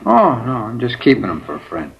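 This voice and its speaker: A man's voice: mid-range voice, huge pitch swings, fairly quick, gravelly. An actor playing a jittery, hungover male carnival informant who rambles and repeats himself in a 1950s radio crime drama. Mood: jittery, rambling. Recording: old lo-fi radio broadcast with hiss.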